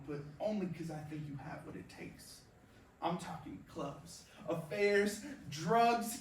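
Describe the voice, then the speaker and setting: A man's voice: high-pitched, fairly bright tone. A man on stage playing a cocky, crude veteran baseball star who brashly and slyly schools a rookie in slangy tough-guy talk. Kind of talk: staged reading of a play, theatrical dialogue. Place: indoor performance space, recorded from afar. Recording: roomy distant stage recording, background noise.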